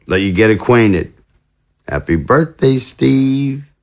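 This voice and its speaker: An actor playing a mischievous, glib political boss in an audio drama, with a sly, folksy way of talking. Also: male voice, mid-range voice, animated, takes it slowly, darkish tone. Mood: breezy, teasing. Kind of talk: audio drama dialogue acting.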